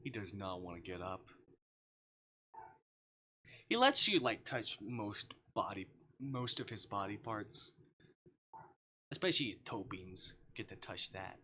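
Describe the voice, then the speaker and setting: A man's voice: fairly low pitch, unhurried, sharp tone, a little hiss or hum. A man casually commentating on a livestream, rambling to himself and reading game text aloud. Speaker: live streamer playing visual novels.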